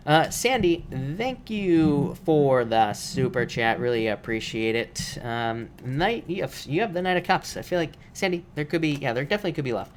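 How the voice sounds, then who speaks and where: A man with a voice made rough by allergies, reading tarot cards on a live stream in a casual, repetitive way with many fillers. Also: on the high side, lively intonation, moderate pace, few pauses, slight background noise. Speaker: tarot reader.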